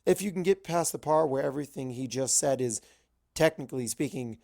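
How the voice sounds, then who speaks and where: Creaky-voiced story narrator. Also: medium pitch, brisk, a little mellow. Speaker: audiobook-style reader.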